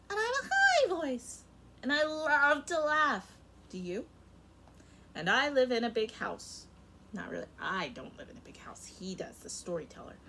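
A young woman teacher reading a picture book aloud to kindergarten children, very expressive, her loudness rising and falling sharply. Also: fairly high pitch, a little hiss or hum. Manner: expressive and animated, with big swings in loudness. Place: home room in front of a rainbow feather backdrop.

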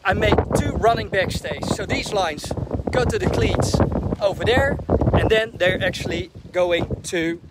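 Upbeat Dutch man with a high voice. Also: a little slow, heavy background noise. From Netherlands. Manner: enthusiastic, chatty, addresses viewers as guys. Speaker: sailing vlogger. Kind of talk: casual vlog boat tour. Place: on a sailboat in a harbor, cabin and deck. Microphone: camera at arm's length.

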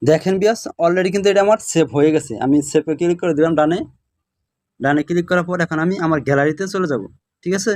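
A man's voice: fairly high pitch, fast talker, darkish tone, dry recording, clean studio sound. A man narrating a step-by-step app tutorial, repeating himself and often checking that the viewer follows. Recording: voice over plucked guitar music. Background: plucked guitar music.

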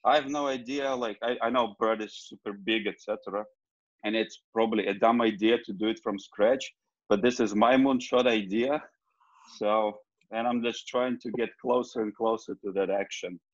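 A man's voice: on the low side, fairly even intonation, normal speed, no room sound, lo-fi sound. A man from Ukraine giving a rambling, casual self-introduction on a video call. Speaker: meeting participant.

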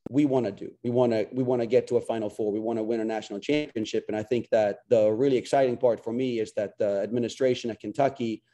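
Dark-voiced coach, earnest and halting. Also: male voice, mid-range voice. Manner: earnest, repeats words when starting sentences. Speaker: head soccer coach. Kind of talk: post-game press conference answers. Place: small locker room, indoors. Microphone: video call webcam.

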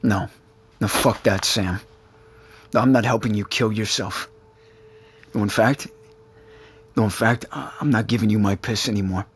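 An actor playing an exasperated but protective friend in an audio drama, blunt, profane and insistent. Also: male voice, lowish voice, moderate pace, pauses often, husky. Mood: fed up. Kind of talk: naturalistic audio drama acting.

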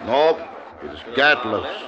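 An American actor playing a blunt, dour buffalo skinner in a 1950s Western radio drama. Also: male voice, mid-range voice, big pitch swings, slow pace, noisy background. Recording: dull old radio recording, outdoor crowd effects.

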